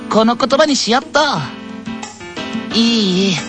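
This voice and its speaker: A cheerful schoolchild in an anime radio skit. The high, sing-song voice muses to itself happily, with music playing behind. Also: female voice, lively intonation, slow pace. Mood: playful, upbeat. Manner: sing-song, talking to self. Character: cheerful schoolchild musing over New Year calligraphy.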